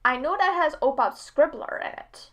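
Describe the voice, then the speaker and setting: A woman's voice: high-pitched, normal speed. A woman hosting a reaction video, chatting casually.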